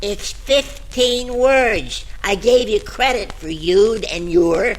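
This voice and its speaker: A man's voice: high voice, slow. A man playing a streetwise, unimpressed tough guy with a needling, slangy delivery in comic old-time radio detective style. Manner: needling, mocking, pedantic, slangy.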